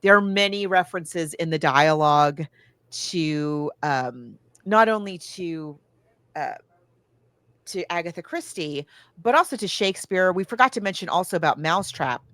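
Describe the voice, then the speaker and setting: A woman's voice: fairly low pitch, animated, moderate pace, a bit bright, dry recording. A woman chatting casually and effusively as she reviews books and films as a co-host. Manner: enthusiastic, effusive.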